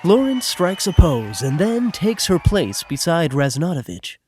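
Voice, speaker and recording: A man's voice: fairly high pitch, big pitch swings, a little slow, darkish tone, faint music behind. A man narrating the action of a scripted audio drama.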